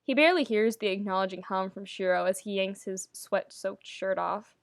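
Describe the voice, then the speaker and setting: A woman's voice: medium pitch, normal speed, dry recording, studio quality. A woman narrating a story expressively, with wry, dramatic emphasis. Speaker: podfic narrator reading fan fiction.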